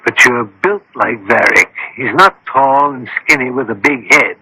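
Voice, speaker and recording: An actor playing a dry, unflappable detective in a radio drama, speaking in a measured, politely authoritative way. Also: male voice, mid-range voice, pushed and bright, husky, no echo, exaggerated voice, low-quality recording. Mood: calm, dry. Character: dry, unflappable male detective directing a trap.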